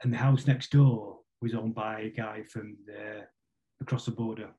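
A middle-aged man with a Manchester accent, chatting casually as an interview guest in a rambling, halting way full of tag questions. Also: on the low side, steady tone, dark tone. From Manchester, England. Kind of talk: casual conversational football chat.

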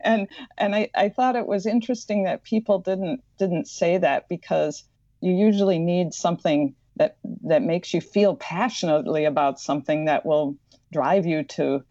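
A low, mellow woman's voice with a slight Midwestern accent. She answers earnestly as a podcast guest, often doubling back on her words. The recording is dry and a bit muffled. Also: moderate pace, no room sound. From Madison, Wisconsin. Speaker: author and librarian.

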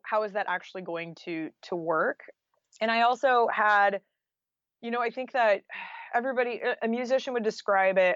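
A woman's voice: mid-range voice, no echo. A woman chats as an interview guest in a casual, anecdotal way, sprinkling in conversational fillers. The recording is dry and close, with a slightly dull, lo-fi sound. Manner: chatty, anecdotal, with frequent "like" and "you know". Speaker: podcast guest, freelance journalist and author.

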